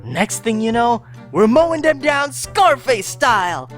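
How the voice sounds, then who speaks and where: A woman's voice: fairly high pitch, very animated, measured pace, faint music behind. A woman voicing a desperate, chummy hunter who wheedles and pleads in slangy talk, in a dramatized fan fiction reading. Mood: chummy bragging. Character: desperate, wheedling, fast-talking hunter begging a monster for mercy.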